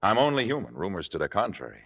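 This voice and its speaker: An actor playing a blunt, confident theater director in a vintage radio drama, brisk, wry and plain-spoken. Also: male voice, low voice, wildly swooping pitch, fairly bright tone, breathy edge, heavily acted. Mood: wry, firm. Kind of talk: 1950s radio drama acting. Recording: vintage radio broadcast with music.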